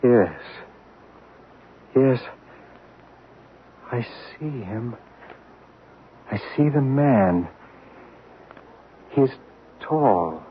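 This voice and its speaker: An actor playing a male medium speaking slowly and haltingly from a deep trance, in a 1970s radio drama. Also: expressive. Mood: entranced. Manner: very slow, halting, dreamy. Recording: narrow, hissy old radio broadcast.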